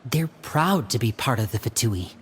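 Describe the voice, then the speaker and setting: An actor playing a composed, loyal young man in a video game, speaking in a measured, explanatory manner. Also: mid-range voice, lively intonation, a bit bright, breathy edge. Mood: serious, measured.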